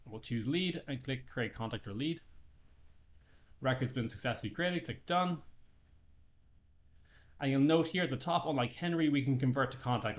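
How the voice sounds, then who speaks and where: A man narrating an unscripted software walkthrough, explaining each action step by step as he goes. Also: medium pitch, dull audio. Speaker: software tutorial presenter.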